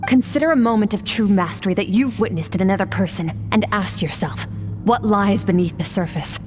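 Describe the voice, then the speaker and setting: A woman playing a stern, aloof young sword master in an anime video game, measured and commanding as she instructs a pupil. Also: medium pitch, over music, close to an everyday voice. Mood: stern, focused.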